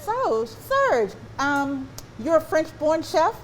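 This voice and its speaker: A woman's voice: sits high, very animated, takes it slowly, a little hiss or hum, low-quality recording. A woman hosting a casual on-location interview in a kitchen. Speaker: host.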